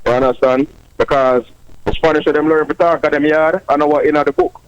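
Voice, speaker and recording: A man's voice: fairly high pitch, steady tone, a little fast, a crowd in the background. A man from Jamaica with a Jamaican accent, chatting casually on a radio show. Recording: dry, dull lo-fi sound.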